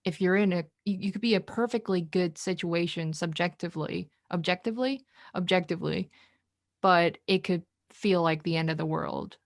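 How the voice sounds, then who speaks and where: A woman talks over a video call from a dry, close-sounding room. Her voice sits a little low, and she thinks aloud in halting, searching phrases. Korean is her first language. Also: dry recording. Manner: hesitant, thinking aloud with many restarts. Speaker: podcast host. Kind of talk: casual video-call chat. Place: indoors in Korea.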